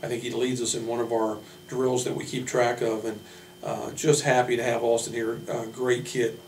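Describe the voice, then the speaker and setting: A man speaking as a basketball coach, giving informal player introductions in a measured, hesitant way with frequent pauses. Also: medium pitch, flat delivery, a little mellow. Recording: roomy, distant mic, faint hum.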